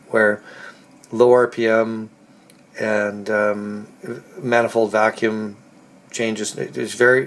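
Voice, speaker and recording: A man with a hoarse voice from a cold, casually walking through engine tuning settings and thinking aloud with halting pauses. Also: on the low side. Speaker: car builder explaining engine tuning. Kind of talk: casual technical walkthrough.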